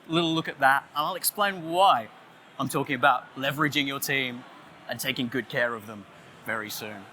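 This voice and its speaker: A man with an Australian accent giving a playful conference talk, loudly joking and chatting with the audience. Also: medium pitch, slight background noise. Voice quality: loud voice. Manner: jokey, playful, chatty with the crowd. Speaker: conference speaker. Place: conference stage, audience on headphones.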